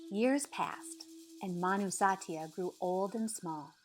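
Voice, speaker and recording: A woman narrates a picture book with expressive, measured delivery. Her voice sits at a middle pitch for a woman, with soft music playing behind her. The reading is scripted and shaped as dramatic storytelling. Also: low-quality recording.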